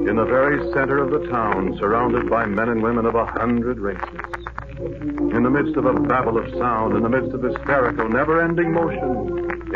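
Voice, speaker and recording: An actor playing a weary, lovelorn war veteran, narrating in a brooding, poetic, measured way in a 1940s radio drama. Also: male voice, mid-range voice, close to an everyday voice. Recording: noisy, dull 1940s radio recording.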